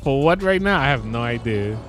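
A man chatting excitedly in voice chat while playing a roleplay game, often laughing and exclaiming. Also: medium pitch, a little fast, faint music behind. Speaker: streamer playing a civilian in a roleplay server. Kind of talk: casual voice chat while gaming.

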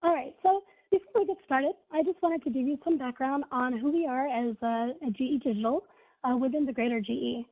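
A woman's voice: high voice, a little fast. A woman with a scratchy voice from being ill presents a webinar as a product manager, in an upbeat way with many fillers like "you know" and "right". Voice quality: husky. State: scratchy voice from illness. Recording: tinny phone-line audio.

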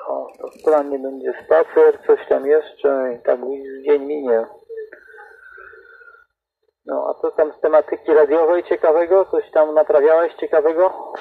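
Gravelly man over tinny CB radio. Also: on the high side, level tone, measured pace, no room sound. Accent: Polish, Greater Poland. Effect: CB radio transmission. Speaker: amateur CB radio operator. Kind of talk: casual radio chat. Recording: tinny, noisy CB radio reception. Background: radio static.

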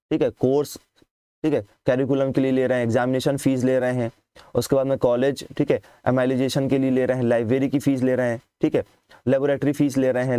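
A man's voice: medium pitch, warm tone, smooth, no echo. A man from India, a coaching teacher, explaining admission fees to students, often asking them a question and then answering it himself. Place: voice-over on scanned documents.

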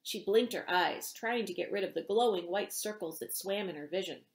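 A middle-aged woman, an author reading aloud in an expressive storytelling style in a small indoor room. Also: medium pitch, normal speed. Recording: roomy home echo.

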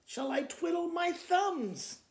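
A man's voice: very high-pitched, lively intonation, slow, creaky, roomy sound, voice pushed up, exaggerated voice. A man voicing a flustered, fretful farmer from a children's storybook poem, exclaiming and repeating himself.

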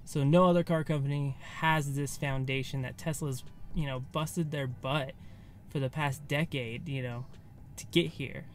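An American man in his thirties giving a casual, off-the-cuff recap to camera from inside a car, loosely phrased as if thinking aloud. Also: mid-range voice. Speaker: vlogger. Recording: faint background ambient noise.

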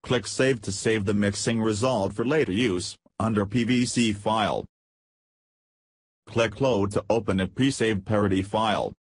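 A man reading scripted software tutorial narration in a measured, step-by-step way. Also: fairly low pitch, a little hiss or hum.

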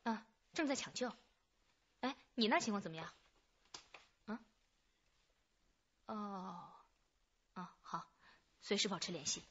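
An actress playing a shrewd, businesslike female detective who reasons deliberately in a radio drama. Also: medium pitch, expressive, fairly bright tone, breathy edge, highs cut off, heavily acted. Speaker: actor in a police radio drama. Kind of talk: Chinese radio drama acting.

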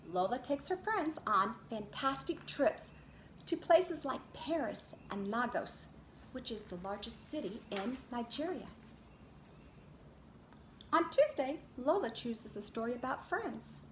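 A middle-aged woman, a preschool teacher reading a picture book aloud and talking expressively to young children. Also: a little high. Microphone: camera a short distance away. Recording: roomy echo, clear background noise.

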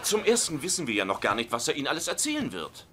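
An actor playing a playful, easygoing young husband in a dubbed sitcom, with a jokey manner. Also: male voice, fairly high pitch, fast talker, heavy background noise. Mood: reassuring. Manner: jokey, excitable.

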